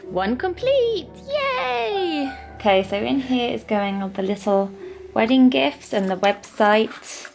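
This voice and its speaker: A British woman with a fairly high voice chats through a craft vlog. She is excitable and playful with a cutesy touch, yet takes her time. Music plays loudly behind her. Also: takes it slowly, creaky. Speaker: vlogger. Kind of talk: chatty craft vlog with narrated voice-over.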